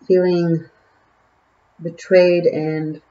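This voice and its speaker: A woman's voice: fairly low pitch, little pitch movement, very slow, warm tone, muffled recording. A woman from Israel with an Israeli accent, a native Hebrew speaker, giving a casual tarot reading to the camera, musing and chatty with many fillers. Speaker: tarot reader.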